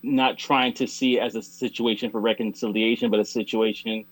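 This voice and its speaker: A man's voice: fairly low pitch, steady tone, brisk, husky, poor audio. A man as an interview guest, talking casually and explaining his points with analogies. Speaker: interview guest, music artist.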